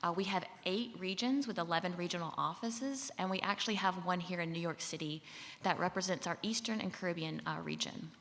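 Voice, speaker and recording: A woman from Mississippi with a Southern accent, a government official giving a relaxed, friendly conference talk with fillers. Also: lowish voice, brisk, slight background noise. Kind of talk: conference presentation. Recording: long hall reverb.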